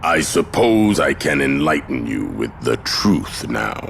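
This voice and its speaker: An actor playing a sinister, pompous villain who worships the void in a video game, with a deep gravelly voice and grandiose delivery. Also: male voice, big pitch swings, slow pace, a bit bright, a little hiss or hum, heavily acted. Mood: smug menace. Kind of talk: theatrical video game voice acting.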